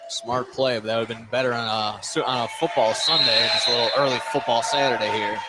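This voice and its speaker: A man's voice: medium pitch, fairly even intonation, brisk. A man giving casual color commentary on a live basketball game from a gym. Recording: clear gym noise, bouncing basketballs. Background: basketball bounces, gym ambience.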